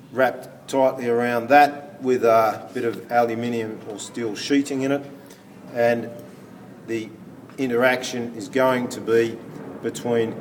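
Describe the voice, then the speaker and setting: Distant, noisy recording of man teaching. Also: medium pitch. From Australia. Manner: halting, thinking aloud while handling equipment. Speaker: physics teacher. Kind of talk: hands-on classroom demonstration, explaining step by step. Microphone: phone camera some way off. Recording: distant phone recording, background hiss.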